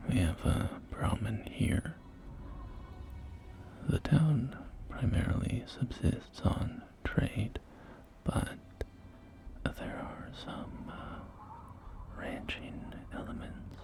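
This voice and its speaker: A man playing a folksy post-apocalyptic real estate agent in a whispered ASMR roleplay, with gentle, hesitant, reassuring sales patter. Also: medium pitch, expressive, extremely slow, vocal fry, long pauses, heavily acted. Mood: reassuring, informative. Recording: close whisper over soft music.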